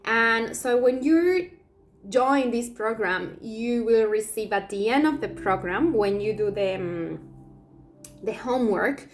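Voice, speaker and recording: A woman whose first language is Spanish, speaking English as a teacher in an invitational video. She asks many rhetorical questions and pauses often with fillers. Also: fairly high pitch, a little hiss or hum. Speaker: Akashic Records teacher.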